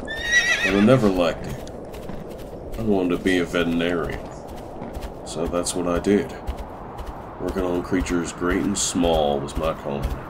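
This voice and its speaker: A weary, older male farmer played by an actor speaks in a very deep voice. He is measured and plain-spoken, in an amateur comic dub with clear noise behind him. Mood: wistful. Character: weary older widowed farmer, former veterinarian.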